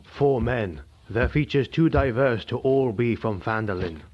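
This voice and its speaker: A man narrating a dark fantasy audiobook in a measured, dramatic way. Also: mid-range voice, lively intonation. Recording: dull lo-fi recording.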